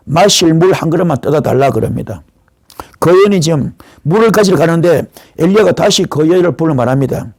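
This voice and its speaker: A male pastor delivers a sermon in a fairly high voice with a dry, close sound. He retells scripture as a story, voicing the dialogue and putting questions to his listeners. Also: no echo. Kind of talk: sermon retelling Bible stories.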